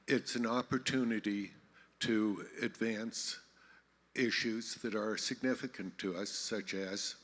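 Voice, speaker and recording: A man, a political candidate, giving terse, deadpan debate answers. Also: fairly low pitch.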